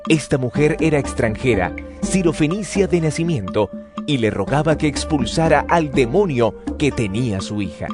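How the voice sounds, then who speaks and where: Guy narrating bible audio drama, animated medium pitch voice, music playing under him. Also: normal speed.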